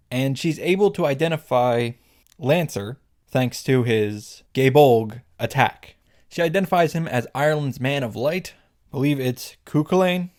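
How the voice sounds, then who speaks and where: A man's voice: mid-range voice, darkish tone. A man giving casual, scripted commentary as a video creator, wry and joking with sarcastic asides and some swearing. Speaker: video creator recapping and reacting to a game. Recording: music behind the voice. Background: background music.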